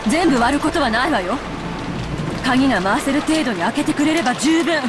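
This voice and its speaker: Brisk, high-voiced, decisive woman. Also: animated, over music. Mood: decisive, probing. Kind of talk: Japanese visual novel voice acting.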